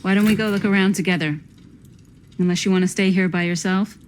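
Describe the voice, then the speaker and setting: An actress playing a composed, probing middle-aged woman in a video game, measured and dryly serious. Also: mid-range voice, steady tone, a little hiss or hum, natural voice. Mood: guarded, firm. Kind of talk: naturalistic video game acting.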